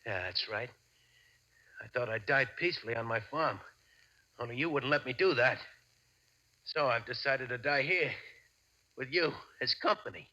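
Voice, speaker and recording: A grim, vengeful ex-convict in a 1940s radio play. He has a very hard, bright voice of middling pitch and taunts with resigned menace. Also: moderate pace, pauses often, muffled recording. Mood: grim resolve. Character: vengeful dying male ex-convict, grimly taunting. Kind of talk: 1940s radio drama acting.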